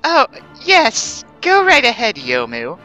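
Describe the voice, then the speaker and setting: An elegant ghost mistress, played by a woman, with a high, bright voice that draws its words out slowly. She is doting and easily flustered, speaking in a gushing, melodramatic storybook style. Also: wildly swooping pitch, lo-fi sound, faint music behind, heavily acted. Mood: encouraging.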